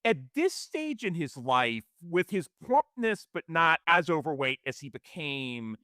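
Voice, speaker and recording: Man, animated pitch, bright forward voice, casual movie talk, podcast co-host. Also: unhurried, dry recording, clean studio sound. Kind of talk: conversational film discussion.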